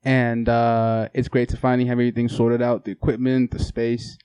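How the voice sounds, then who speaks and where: A young South African-accented man talking easily as a podcast co-host. His voice is mellow and dark-toned, recorded dry and close. He drifts along with a relaxed, chuckling ease. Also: medium pitch, brisk, clean tone, no room sound. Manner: laid-back, laughs often, fills with "mm-hmm". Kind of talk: casual chat.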